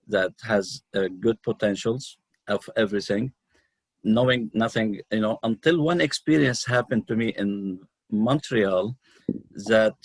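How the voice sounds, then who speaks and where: Low-voiced Lebanese man, halting delivery. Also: slightly rough, no room sound. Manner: halting, pauses often mid-sentence. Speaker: guest, numerologist and healer. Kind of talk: unscripted personal storytelling in an interview.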